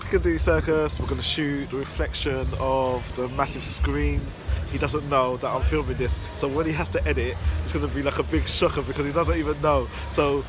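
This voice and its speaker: A man joking and talking to the camera on a rainy night street, animated and repetitive. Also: medium pitch, a little fast, few pauses, over music. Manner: joking, animated, repeats words. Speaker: fellow photographer. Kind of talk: playful casual chat to camera.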